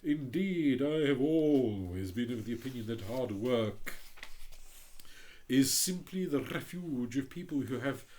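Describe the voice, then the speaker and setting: Reader doing a pompous rocket, lofty and slow, swooping pitch, storybook voice. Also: very animated, a little mellow. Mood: haughty. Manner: pompous, drawn-out and grand. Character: haughty conceited male firework rocket. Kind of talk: storybook reading.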